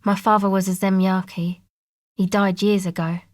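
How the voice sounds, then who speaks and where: A woman's voice: mid-range voice, flat delivery, moderate pace, dry recording, natural voice. An actress playing a guarded, laid-back young woman who leads a streetwise crew in a video game, speaking casually with dry understatement. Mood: somber, flat. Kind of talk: video game dialogue acting.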